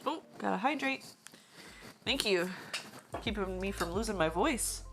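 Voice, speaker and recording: A young woman streams her 3D modeling work, thinking aloud at a slow, unhurried pace and greeting viewers as they arrive. Her voice is gravelly and going hoarse, with pitch that rises and falls a lot. Faint music plays underneath. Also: expressive, background noise. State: losing her voice. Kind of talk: casual live-stream chat while 3D modeling.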